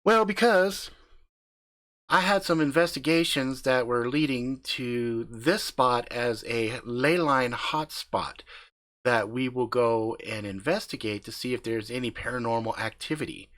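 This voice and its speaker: A man's voice: mid-range voice, measured pace, a bit bright, close to an everyday voice. A man voicing a prim, disapproving wife who investigates the paranormal with her husband.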